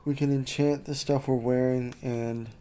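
Mellow-voiced man chatting over gameplay. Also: medium pitch, normal speed, slight background noise. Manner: relaxed, chatty, reacting to the game. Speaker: gameplay streamer. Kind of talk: casual gameplay commentary.

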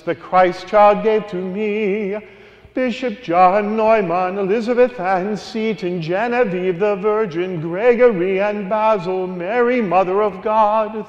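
A male priest giving a homily, singing a long list of saints and then explaining it in a relaxed, conversational way. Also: high-pitched, long reverb tail, lo-fi sound. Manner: sings a long cumulative list, then talks plainly and conversationally. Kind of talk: homily with a sung carol.

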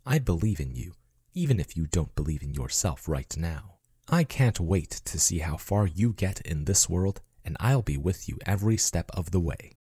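A man acts out a devoted AI companion in an ASMR roleplay. His voice is very low, mellow and husky, with lively swings in intonation. He reassures tenderly and earnestly, with a possessive streak. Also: normal speed, no room sound, clean studio sound. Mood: tender, encouraging. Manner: soothing, earnest, affectionate reassurance. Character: devoted male chatbot robot companion, tender, possessive, falling in love.

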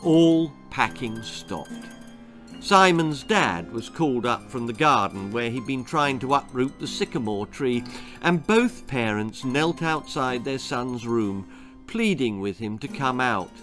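Older guy reading a kids tale out loud, really animated and dramatic, bit of music in the back. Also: medium pitch, takes it slowly. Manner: animated, theatrical delivery. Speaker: author reading his own story. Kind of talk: dramatic children's story reading. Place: small book-lined room indoors.

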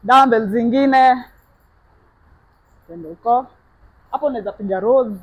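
A woman's voice: on the high side, measured pace, slightly rough, highs cut off. A young woman with a Kenyan accent, a gym staff member, showing visitors around and pointing out equipment in a friendly, matter-of-fact way. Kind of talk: guided tour in mixed Swahili and English. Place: large indoor gym. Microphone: lapel mic.

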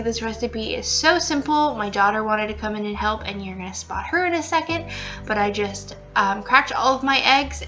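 A young woman chatting casually through a home cooking video, upbeat and full of fillers, recorded at home with soft music behind. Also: a little high, highs cut off. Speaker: cooking vlogger. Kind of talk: casual cook-along narration. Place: living room at home, indoors.

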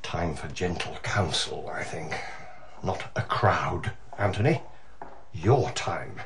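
An actor playing a dry, wily male government aide in a radio drama, with an English accent and a brisk, sardonic manner. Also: low-pitched, noisy background. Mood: dryly evasive.

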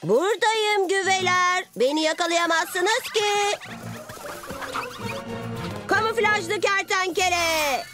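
A woman's voice: very high-pitched, a little slow. A woman voicing a plucky, loyal and earnest six-year-old boy superhero in a children's cartoon. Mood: taunting. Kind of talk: Turkish-dubbed children's cartoon acting. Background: music.

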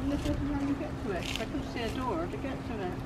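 A woman's voice: sits high, animated, fast talker. Older woman chatting outdoors amid noise. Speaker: walking companion. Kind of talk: casual chat, remarking on surroundings. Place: outdoors in seaside town streets. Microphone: camera microphone held by companion. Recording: noisy outdoor camera audio, street ambience.

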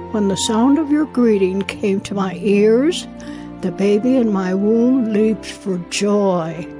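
An actress playing a devout elderly expectant mother in a dramatized audio Bible, speaking with reverent wonder. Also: mid-range voice. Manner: slow, exclaiming reverently.

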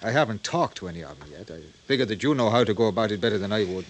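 Animated plain-talking crash investigator, acted by a man. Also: lowish voice, very fast, slight background noise, close to an everyday voice. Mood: earnest, forceful. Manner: folksy, emphatic, colourful. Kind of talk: 1950s radio drama acting. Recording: old-time radio recording.

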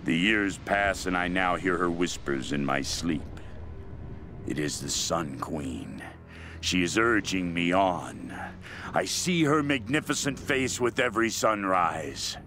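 A man's voice: sits low, lively intonation, over music. An actor playing a fanatical, mad cult leader obsessed with a queen, delivering a brooding, menacing diary monologue for a video game. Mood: rapturous, obsessed. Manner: slow, brooding, menacing, intense.

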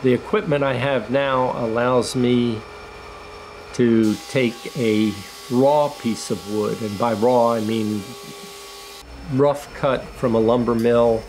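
An older man, a retired engineer, reflecting slowly on his woodworking in a documentary interview, with many pauses and restarts. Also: medium pitch, a little mellow, a little hiss or hum. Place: woodworking shop in a farm building.

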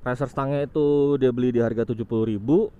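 A man's voice: mid-range voice, fast talker, lo-fi sound, a little hiss or hum. Chatty young man riding a motorcycle. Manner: chatty, relaxed, informal with frequent "guys". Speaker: motovlog host. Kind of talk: casual motovlog commentary while riding. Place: on a motorcycle on a city road outdoors.

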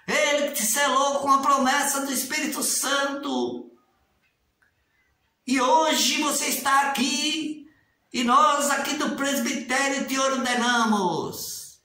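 Older Brazilian man, 60s, animated casual commentary, high bright voice, very echoey tiled room. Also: a little slow. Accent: Brazilian Portuguese. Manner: animated, gestures a lot, mimics formal prayers in a raised sing-song voice. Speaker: religious commentator. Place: small tiled room indoors. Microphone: webcam at desk distance.

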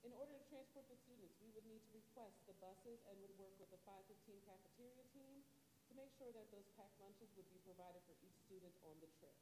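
A woman teacher with a loud voice presenting a field trip proposal to a school board in an upbeat, brisk way. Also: medium pitch. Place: large school auditorium. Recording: echoey auditorium with long reverb and background noise.

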